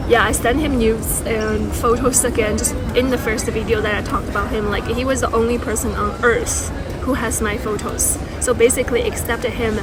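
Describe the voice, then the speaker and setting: A woman talks into her phone in a busy airport, rambling and circling back with many fillers and restarts. Her voice sits a little high. Loud airport noise runs under everything she says. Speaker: vlogger. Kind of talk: rambling personal vlog.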